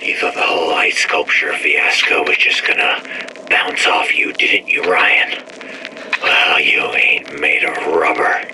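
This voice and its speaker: A man's voice: a bass voice, measured pace. An actor playing a bitter, vengeful man who sneers threats at a rival in a game audio log. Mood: menacing. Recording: audio log with steady background noise.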